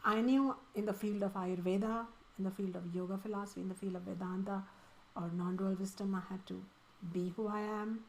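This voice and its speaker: Indian-accented woman teacher, earnest and emphatic, lots of rhetorical questions, mid pitch, faint hiss behind. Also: steady tone, fairly quick, poor audio. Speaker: spiritual teacher. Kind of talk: answering student questions in a satsang.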